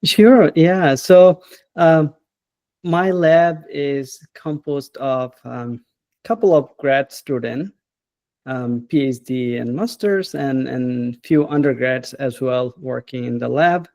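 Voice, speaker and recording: A man, a professor talking as an interview guest in an unhurried, hesitant way and often adding "right?". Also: medium pitch, darkish tone, no room sound. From Bangladesh. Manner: unhurried, hesitant, with frequent "uh" and tag questions like "right?". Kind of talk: casual interview.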